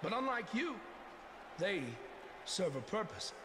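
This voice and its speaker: A man's voice: high-pitched, animated, measured pace. A man playing a menacing, cult-like wrestling villain in a video game, giving an ominous, taunting, theatrical monologue. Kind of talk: video game cutscene acting. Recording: long hall reverb, noisy arena.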